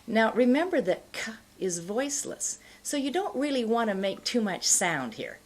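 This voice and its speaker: A middle-aged woman giving an instructional pronunciation lesson, explaining in a deliberate, carefully enunciated way. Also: a little high, big pitch swings. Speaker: pronunciation teacher. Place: indoor studio, plain backdrop.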